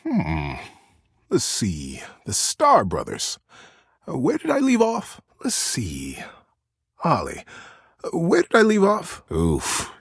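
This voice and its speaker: A man voicing a gentle, earnest male teddy bear in a video game, telling bedtime stories in a soothing, expressive way with dramatic pauses. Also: mid-range voice, creaky, slightly rough, dry recording, clean studio sound, exaggerated voice. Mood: musing.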